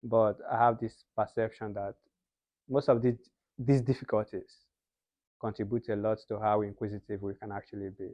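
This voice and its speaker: A man's voice: fairly low pitch, flat delivery, moderate pace, no echo. A young man from Nigeria with a Nigerian accent, a guest in a casual interview who explains things in an earnest way. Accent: Nigerian English. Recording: slightly muffled lo-fi sound.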